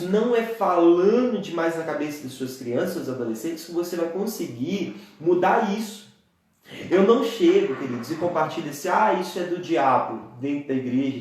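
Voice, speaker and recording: A young man in his twenties with a Brazilian Portuguese accent gives an earnest church talk and keeps turning to his listeners as dear ones. His voice sits a little high for a man. The room around him is very echoey, with his words ringing back. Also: a little hiss or hum. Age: 20s. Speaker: preacher. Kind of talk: church teaching talk on a live stream.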